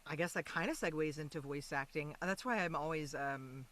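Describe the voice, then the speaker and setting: A woman's voice: deep voice, a little fast, a bit bright. A woman speaking as a podcast guest in casual, informal conversation, often interrupting herself as she thinks aloud.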